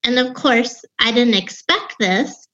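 A woman in her fifties with a Wisconsin accent talks about her life as a podcast guest. Her voice sounds young and sits fairly high, and she pauses and restarts as she reflects. The recording is a bit dull and lo-fi. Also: a bit bright. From Wisconsin, USA. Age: 50s. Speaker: author and grief guest. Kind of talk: personal storytelling in an interview.

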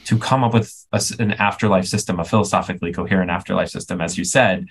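A man co-hosting a podcast, thinking aloud with halting restarts as he searches for words. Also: low voice. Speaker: podcast co-host. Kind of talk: casual discussion podcast.

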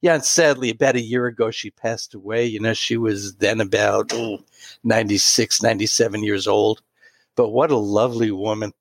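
Halting man in his late sixties. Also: fairly low pitch, fairly even intonation, no room sound. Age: 60s. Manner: warm, halting anecdotal storytelling with many restarts. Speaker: guest. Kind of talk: storytelling interview.

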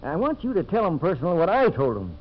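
An actor playing an honest, plainspoken gold prospector with a folksy manner, in 1950s radio western style. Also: male voice, on the high side, huge pitch swings, fast talker. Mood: glad, modest. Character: honest, plainspoken male gold prospector, loyal frontier sidekick. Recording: dull, hissy 1950s radio broadcast recording.

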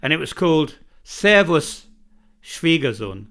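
A man's voice: on the high side, very animated, measured pace, vocal fry, fairly bright tone. A man from Britain co-hosting a casual podcast chat at home, rambling and often restarting his sentences. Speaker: podcast co-host. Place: home in northern Bosnia.

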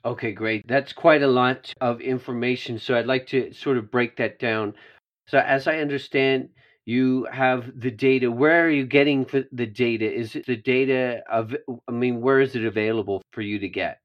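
A man's voice: mid-range voice, fairly even intonation, moderate pace, dull audio. A man hosting a business podcast, reading an upbeat scripted intro and sponsor message, then asking interview questions. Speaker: podcast host.

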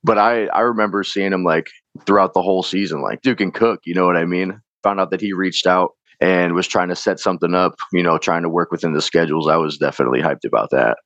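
A man with a slightly hoarse, raspy voice, a chef from Chicago talking in a laid-back, slangy way with casual swearing. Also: sits low, fast talker, fairly bright tone, no room sound. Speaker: chef guest. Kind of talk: casual interview chat. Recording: dull, lo-fi podcast mic.

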